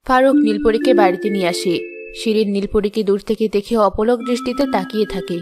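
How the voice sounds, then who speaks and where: A woman's voice: mid-range voice, quick pace, over music. A woman reading scripted narration for a children's story as its narrator.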